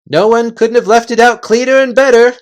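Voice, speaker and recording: A man's voice: very high-pitched, steady tone, fairly quick, voice pushed up. A man voicing dim, fawning storybook creature followers who agree eagerly and repeat themselves. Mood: enthusiastic.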